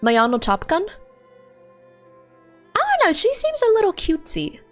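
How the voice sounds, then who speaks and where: A young woman chatting casually with her stream viewers while playing a game, laughing often and thinking out loud. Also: very high-pitched, wildly swooping pitch, normal speed. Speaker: livestreamer. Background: faint game music.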